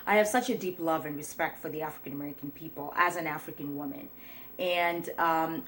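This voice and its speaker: Young adult woman from Kenya, low-pitched, earnest and reflective vlogger voice, faint room hiss. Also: fairly bright tone. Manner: earnest, reflective, many ums and restarts. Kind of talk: casual vlog talk to camera.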